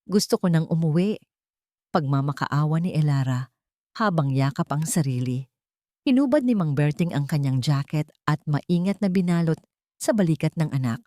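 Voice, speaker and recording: List: woman narrator, scripted drama narration, steady delivery, lowish voice, dry sound. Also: no echo.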